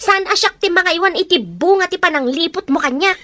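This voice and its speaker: A woman's voice: very high-pitched, animated, brisk, bright, forceful tone, heavily acted. An actress playing a bitter wife who resents her husband's child in a radio drama. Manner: bitter, accusing.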